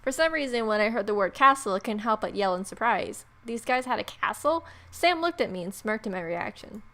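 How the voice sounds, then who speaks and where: A woman's voice: a little high, expressive, a little fast, pushed and bright. A woman hosting a let's play, reading game narration expressively and adding playful, wry casual asides. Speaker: gamer, video host.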